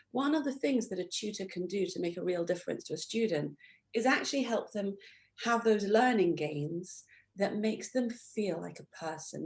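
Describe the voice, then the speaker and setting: A woman's voice: mid-range voice, gravelly, darkish tone. A woman hosting an education interview, enthusiastic and fond of tag questions. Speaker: podcast host. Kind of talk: conversational interview.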